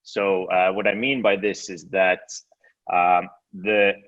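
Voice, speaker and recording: Low-voiced man from Estonia, halting speech. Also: level tone, fairly bright tone, dull audio. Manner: hesitant, many fillers and restarts. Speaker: guest, exchange co-founder. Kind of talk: casual interview.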